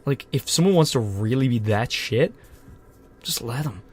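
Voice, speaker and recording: A man's voice: medium pitch, lively intonation, normal speed, a little mellow, breathy edge. A young man co-hosting a podcast, riffing in casual, profane, joking chat from a small closet. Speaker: podcast co-host.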